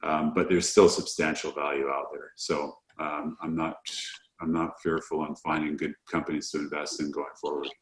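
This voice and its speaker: A man's voice: rumbling low, flat delivery, normal speed, echoey room. A man with a Canadian accent giving unscripted, conversational investment commentary in plain words as a portfolio manager on a conference call.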